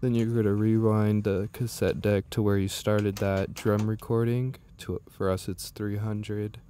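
A man narrating a software tutorial as a music production instructor, explaining step by step in an informal way. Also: fairly low pitch, flat delivery, measured pace, slight background noise.

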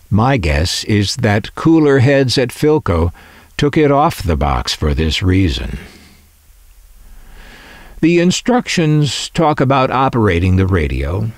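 American guy doing slow wry narration, lowish voice, kinda measured and opinionated. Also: no room sound. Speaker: host of a vintage radio collecting channel. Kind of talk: scripted documentary-style narration.

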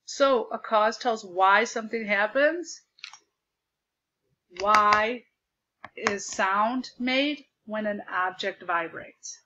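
A woman teacher projecting her voice loudly as she explains a lesson step by step over a video call, repeating the key points. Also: mid-range voice, extremely slow, dull audio. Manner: loud projected voice. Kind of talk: remote classroom lesson. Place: home desk with a document camera. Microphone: computer mic on a video call.

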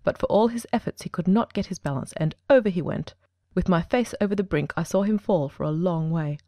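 A woman playing a composed, precise male detective in a dramatic audiobook, speaking in a measured, formal and articulate way. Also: on the low side, dark tone, no room sound, close to an everyday voice. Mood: measured, grave.

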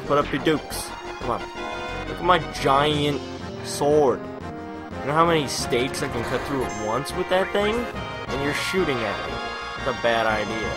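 A young man giving casual, rambling gameplay commentary with jokey mock bravado. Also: medium pitch. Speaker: let's play commentator. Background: video game music.

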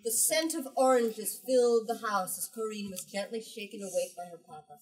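A woman's voice: mid-range voice, moderate pace, breathy edge. A woman in her twenties leading a book talk, reading aloud and explaining in a dramatic, emphatic way. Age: 20s. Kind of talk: expressive read-aloud and discussion. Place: small bookstore with seated group. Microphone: camera at a few metres. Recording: distant camera mic in bookstore.

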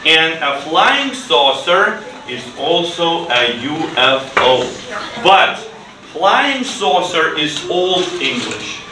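Middle-aged male ESL teacher, unhurried simple delivery, recorded at a distance in a noisy, echoey classroom. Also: on the high side, very animated. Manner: simple, deliberate phrasing with repeated words and short instructions. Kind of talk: classroom English lesson for children. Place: indoor school classroom.

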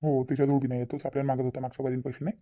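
A man's voice: medium pitch, flat delivery, rapid-fire, warm tone, lightly acted. A man voicing a troubled, insistent middle-aged village father in a Marathi cartoon, earnest and persuading, with a Vidarbha Marathi accent. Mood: hesitant, worried.

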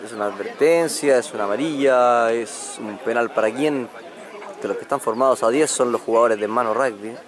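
A man with a Chilean Spanish accent giving live play-by-play commentary on a rugby match outdoors, quick and excited at the big moments. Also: a crowd in the background. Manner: quick, excited calls of the action, pitch jumping up on big moments.

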